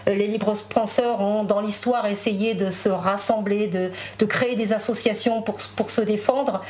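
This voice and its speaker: A woman's voice: mid-range voice, steady tone, normal speed. A woman whose first language is Spanish, a guest in a casual interview chat, talking with many fillers and restarts.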